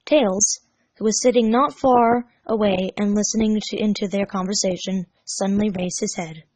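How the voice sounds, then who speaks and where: A woman's voice: medium pitch, steady tone, moderate pace, darkish tone, no room sound, low-quality recording. A woman narrating a scripted story as a fan fiction reader.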